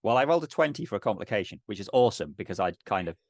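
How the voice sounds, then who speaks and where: A middle-aged man casually narrating a tabletop game, thinking aloud in a halting way. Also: lowish voice, sing-song, no room sound, studio quality. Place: indoors on a video call.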